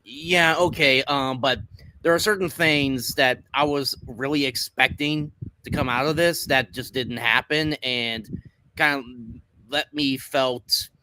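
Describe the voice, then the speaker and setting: A man's voice: medium pitch, steady tone, a little slow, pushed and bright, low-quality recording. A man co-hosting a casual anime review, rambling with lots of fillers. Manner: rambling, many fillers and repeated words. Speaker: co-host.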